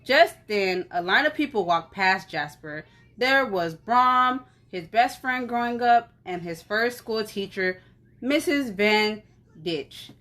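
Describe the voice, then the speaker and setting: A woman reading a story aloud in an animated, conversational way, as if for children. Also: medium pitch, takes it slowly. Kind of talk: expressive children's story narration.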